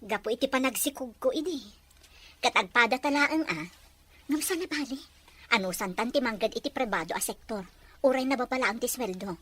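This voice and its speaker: A woman acting an emotional young mother in an Ilocano radio drama, plaintive and expressive, with an Ilocano accent. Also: on the high side, a little fast. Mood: resigned, reflective.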